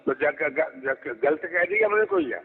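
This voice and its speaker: High-pitched scolding man on a tinny phone line. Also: wildly swooping pitch, unhurried, vocal fry, breathy edge. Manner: scolding, imperious, interrupting. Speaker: religious preacher. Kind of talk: heated phone argument. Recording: tinny phone call recording with hollow reverb.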